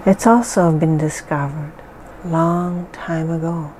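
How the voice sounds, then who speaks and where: A woman leading a guided hypnosis session in a slow, soothing, measured way with long pauses. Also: sits low, steady tone, darkish tone, slight background noise, poor audio.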